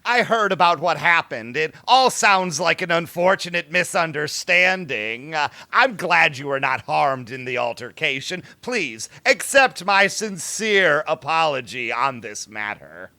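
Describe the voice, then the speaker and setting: Man playing a forceful, formal vampire club owner. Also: fairly high pitch, lively intonation, a little slow, hardly any pauses, dry recording, full character voice. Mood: apologetic, formal. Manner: stiffly polite, careful. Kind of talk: audio drama acting.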